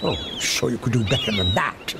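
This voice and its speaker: A man's voice: fairly low pitch, moderate pace, creaky, noisy background. An actor playing a teasing, theatrical man who coaxes another to sing. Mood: coaxing. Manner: swooping, teasing, showy.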